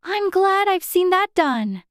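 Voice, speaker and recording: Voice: extremely high pitch, sing-song, slow, a bit bright, no room sound, studio quality, pitched above the performer's own voice, exaggerated voice. A narrator voicing a curious, prim little girl in a storybook reading. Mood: pleased.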